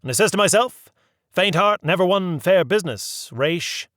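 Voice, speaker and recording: A rough, bitter old riverboat captain with a twang, as a male reader puts him on. He talks loud and folksy in a raised voice, close and dry. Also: high-pitched, expressive, moderate pace, no room sound, pitched above the performer's own voice. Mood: grumbling.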